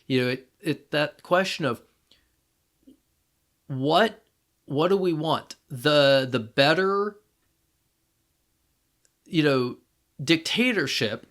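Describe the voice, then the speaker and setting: A man co-hosting a podcast, talking casually as a fan and thinking aloud in a halting way. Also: fairly high pitch, animated, fairly bright tone. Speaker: podcast co-host.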